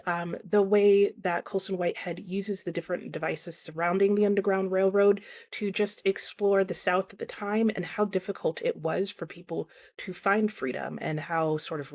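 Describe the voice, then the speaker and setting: A woman's voice: lowish voice, darkish tone. A woman talking casually and explaining her thoughts, as a book reviewer speaking in a vlog. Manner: conversational, explanatory.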